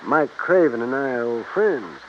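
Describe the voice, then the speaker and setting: A man's voice: medium pitch, lively intonation, unhurried, natural voice. A man playing an affable, talkative country veterinarian in a 1950s radio drama. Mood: affable, matter-of-fact. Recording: muffled, hissy old radio recording.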